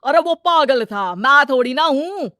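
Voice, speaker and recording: A woman's voice: high-pitched, animated, measured pace, sharp tone, no echo, clean studio sound, voice pushed up, heavily acted. A woman voicing a greedy, scheming village moneylender man in a moral story, brash and full of exclamations. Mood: brash, dismissive. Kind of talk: animated moral-story character dialogue.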